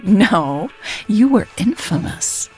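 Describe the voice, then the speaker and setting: A woman voicing a teasing, sharp-witted female lover in audio story dialogue, with playful, challenging banter. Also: medium pitch, sing-song, takes it slowly, faint music behind, voice pushed up, rough recording.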